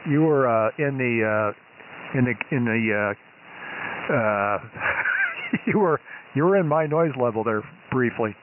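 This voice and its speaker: A man's voice: mid-range voice, wildly swooping pitch. Man running a ham radio net over shortwave. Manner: easygoing, halting with many fillers. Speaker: net control operator. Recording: phone-like shortwave radio with hiss.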